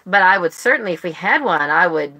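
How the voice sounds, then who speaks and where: Bright-voiced American woman chatting casually. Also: lowish voice, animated, fairly quick, husky, muffled recording. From United States. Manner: chatty and rambling. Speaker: host of a book club live stream. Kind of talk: casual chat. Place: live stream, speaking to viewers online.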